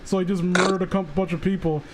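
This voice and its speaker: High-voiced man rambling on a stream. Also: quick pace, noisy background. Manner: rambling, profane, excitable fan talk. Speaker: livestream host. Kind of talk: casual chat about comic book characters.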